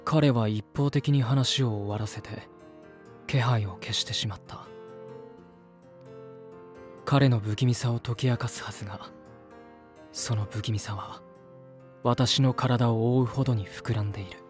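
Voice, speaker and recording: A man's voice: mid-range voice, fairly even intonation, measured pace, pauses often, soft background music, natural voice. An actor playing an earnest, peace-loving, uneasy man, polite and reflective, in a voice drama. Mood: pensive narration. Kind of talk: voice drama dialogue and inner monologue.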